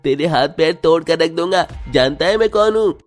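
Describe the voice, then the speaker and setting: A man voicing a hot-headed, confrontational schoolboy in an animated horror story. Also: on the high side, moderate pace, lightly acted. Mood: angry, threatening. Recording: music under the voice.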